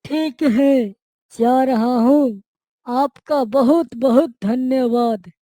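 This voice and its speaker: A woman voicing a humble, kind-hearted young village son in a cartoon moral story, earnest and gentle. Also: high voice, drawn-out words, no echo, studio quality. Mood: grateful, calm.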